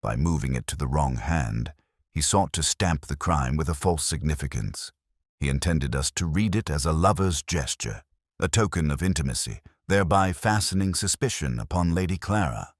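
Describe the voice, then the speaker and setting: A man's voice: extremely low pitch, expressive, moderate pace, no room sound, studio quality. A man voicing a cerebral detective who speaks in a grave, didactic way with formal Victorian phrasing. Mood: dryly analytical.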